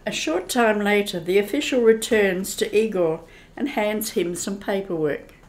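Female narrator for an old-time radio play, medium pitch, scripted storytelling. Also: a little slow, rough recording.

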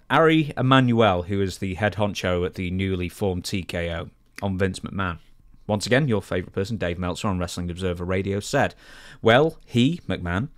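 Low-voiced male podcast co-host, dry sound. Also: no echo. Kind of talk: casual podcast talk, reading news items. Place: small room full of posters and shelves, indoors. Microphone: broadcast microphone up close, headphones.

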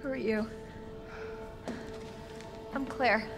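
A woman's voice: on the high side, fairly even intonation, drawn-out words, vocal fry, over loud music, full character voice. An actress voicing a determined, anxious young woman in a video game, with an urgent, questioning manner. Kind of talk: video game cutscene acting.

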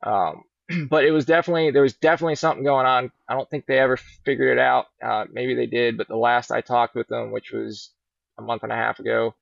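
A middle-aged man, a fishing guide, chatting in a relaxed, rambling way on a podcast, heard over a video call from a large indoor showroom. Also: medium pitch, fast, creaky, dry recording. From Virginia. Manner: easygoing, rambling storyteller. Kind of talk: casual podcast interview. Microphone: laptop webcam on a video call. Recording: muffled dull video call audio.

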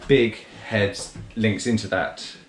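A man's voice: lowish voice, fairly even intonation, takes it slowly. A man presenting a casual walk-through boat review in clipped, fragmentary phrases, recorded on a handheld action camera. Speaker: presenter reviewing a sailing yacht.

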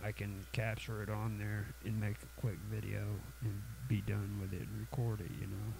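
Tutorial host, man, sniffly cold, low gravelly voice, hesitant delivery with lots of ums. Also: flat delivery, background noise. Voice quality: halting, many fillers and restarts. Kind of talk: casual screen-recorded software tutorial.

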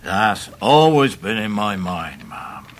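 A man's voice: on the low side, lively intonation, takes it slowly. An actor playing a hearty, humble old countryman with a rustic English accent and folksy storytelling in a radio drama. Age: older. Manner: folksy dialect, chuckling storyteller. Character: hale grey-haired old countryman, hearty, humble and warm. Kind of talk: 1990s radio drama acting.

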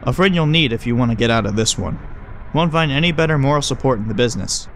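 A man's voice: mid-range voice, animated, fast, a bit bright, a little hiss or hum, close to an everyday voice. A man playing a wry, teasing creature that passes as a human mentor in a horror audio drama. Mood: wry, breezy. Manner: wry, breezy, teasing, coaching. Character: flippant, chummy man who is secretly a swarm of bugs.

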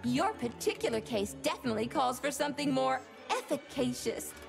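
Female robot guide, high pitch, heavy creak, courteous, formal, instructional, game announcement. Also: expressive, brisk, over music, exaggerated voice. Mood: polite, instructional. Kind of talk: scripted video game announcements.

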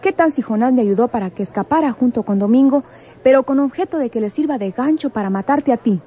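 A woman's voice: a little high, expressive, quick pace, warm tone, breathy edge, muffled recording. An actress playing a proud, wary woman in a radio soap, earnest and firm. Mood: urgent warning. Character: proud, wary, insistent woman warning a man. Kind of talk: Spanish-language radio soap acting.

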